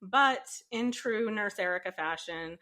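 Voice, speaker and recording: A woman's voice: mid-range voice, a little slow. A woman hosting a nursing podcast, chatting casually with a guest while congested from a cold. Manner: animated storytelling. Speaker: podcast host.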